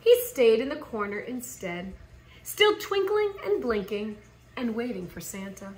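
A woman in her thirties reading a children's picture book aloud in a theatrical, expressive way with drawn-out emphasis. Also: a little high. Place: living room at home, indoors. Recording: roomy home recording.

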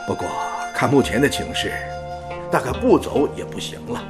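A man's voice: mid-range voice, sing-song, normal speed, lightly acted. An actor playing a kindly, worldly older father in a radio drama, with a folksy, avuncular manner. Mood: concerned, persuasive. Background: music.

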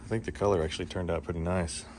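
A man casually talking through his boatbuilding project in a home garage workshop, relaxed and easygoing, recorded on a handheld phone. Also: low-pitched, rapid-fire, slight background noise. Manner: relaxed, easygoing, thinking aloud.

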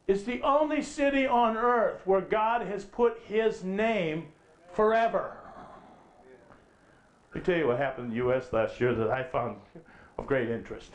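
Emphatic middle-aged man preaching in a hall. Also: fairly high pitch. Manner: emphatic, rising to near-shouts when quoting scripture, rhetorical questions. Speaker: conference speaker. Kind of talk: preaching at a conference. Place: stage with a lectern in a hall. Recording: echoey hall.

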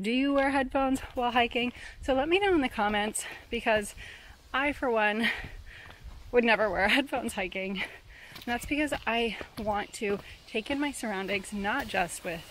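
A woman's voice: on the high side, normal speed, a bit bright, a little hiss or hum, low-quality recording. Chatty young woman vlogging on a trail. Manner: chatty, upbeat, addresses viewers directly. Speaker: hiking vlogger. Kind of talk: casual vlog narration to camera. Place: outdoors on a forest mountain trail. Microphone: small handheld camera mic.

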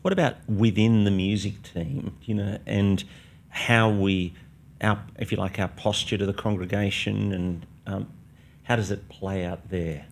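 Deep, gravelly older male host. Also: fairly even intonation, measured pace. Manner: probing, conversational, frequent "mm-hmm" backchannels. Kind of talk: interview questions. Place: studio set with armchairs. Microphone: boom-mounted podcast mic.

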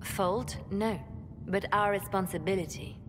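An actress playing a calm, dutiful female spymaster who speaks in a grave, clipped manner in a video game. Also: mid-range voice, unhurried, noisy background, close to an everyday voice. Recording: game audio with long reverb and music.

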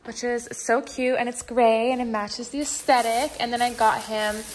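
A woman's voice: fairly high pitch, fairly even intonation, normal speed, slight background noise, rough recording. A young woman in her twenties chatting casually to her viewers at home, upbeat and filler-heavy, filmed on a phone in her kitchen. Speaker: vlogger. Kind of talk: casual vlog chat.

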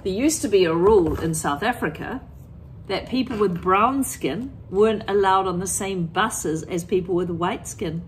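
A woman's voice: mid-range voice, normal speed, slight background noise, rough recording. A middle-aged woman teacher explaining things to children in plain words and reading aloud expressively from a picture book. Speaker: primary school teacher.

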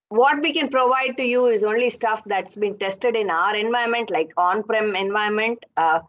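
A woman attendee asking questions conversationally and somewhat hesitantly over a video call. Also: medium pitch. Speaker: audience member asking a question. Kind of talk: conversational question in online meeting. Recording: dull online call audio.

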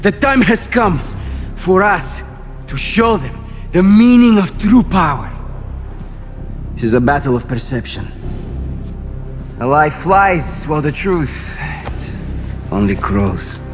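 Male villain: Russian-accented warlord, very slow and grandiose, widely swooping pitch, cinematic game monologue. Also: big pitch swings, faint music behind. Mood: cold menace. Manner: grandiose, measured, menacing oratory. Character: menacing Russian ultranationalist warlord, coldly grandiose.

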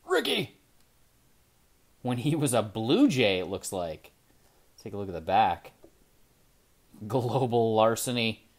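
A man hosting a casual card-opening video at his desk, chatting excitedly, then trailing off quietly as he looks over each card. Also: fairly low pitch, slow pace, pauses often. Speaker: trading card channel host. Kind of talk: casual pack-opening commentary. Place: indoor desk with card display. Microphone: camera over the desk, hands only in frame.

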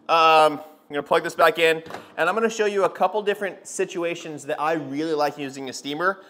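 A man's voice: on the high side, a bit bright, a little hiss or hum. A man in his thirties casually talking viewers through a product test, chatty, with frequent fillers, on a lapel mic. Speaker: car detailing channel host. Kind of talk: casual product review vlog. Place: garage workshop, outdoors by a van, and a home hallway.

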